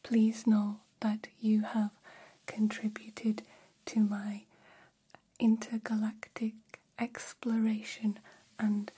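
A woman voicing a gentle, curious humanoid alien in whispered ASMR roleplay, speaking in soothing, stilted, formal phrases. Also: mid-range voice, completely flat delivery, slow pace. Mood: grateful, gentle. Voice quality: breathy whisper.

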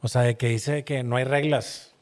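A man's voice: medium pitch, fairly even intonation, moderate pace. A man. He talks in casual podcast style, earnest and rambling, leaning heavily on slang.

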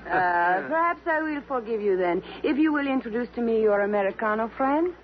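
Actress as flirtatious Spanish-accented femme fatale. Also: female voice, a little high, expressive, fairly quick, lightly acted. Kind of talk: 1950s radio drama acting. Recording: muffled old radio with crowd behind.

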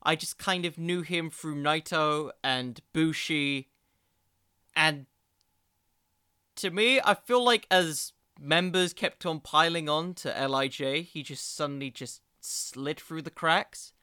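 A man's voice: on the high side, slow, bright, forceful tone, smooth, no room sound, studio quality. A young man hosting an informal solo podcast, rambling along in a jokey, self-deprecating way. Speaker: podcast host.

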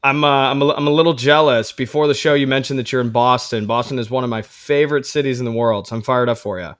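An excitable male podcast host who gushes about his guest and circles back over his own words. His voice sits at an ordinary pitch and sounds close and dry, with no room around it. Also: fast, dry recording. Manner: enthusiastic, effusive, repeats words while rephrasing. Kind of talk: upbeat podcast interview.

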